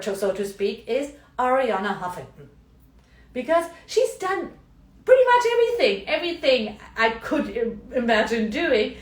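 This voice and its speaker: German-accented woman coaching in a roomy space. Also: fairly high pitch. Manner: chatty, frequent tag questions. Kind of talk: live video talk. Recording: roomy, mic at a distance.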